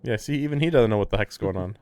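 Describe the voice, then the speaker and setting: A man's voice: on the low side, expressive, racing, a little mellow, clear, resonant tone. A man doing casual livestream commentary on a game, with skeptical, offhand asides, close on the microphone.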